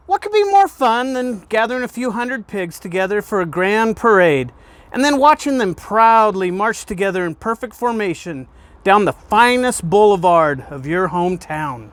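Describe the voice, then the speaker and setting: Animated, higher-pitched man reading to children. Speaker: guest reader, fire department deputy chief. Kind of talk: children's picture book read aloud.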